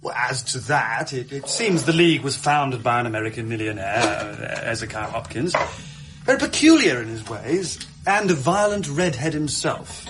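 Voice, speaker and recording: A man playing a crafty, persuasive assistant in a radio drama. His medium-pitched voice is brisk and theatrical, always coaxing his listener along. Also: big pitch swings, over music, natural voice. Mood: confiding. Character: smooth-talking, persuasive, crafty pawnbroker's assistant. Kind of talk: British radio drama acting.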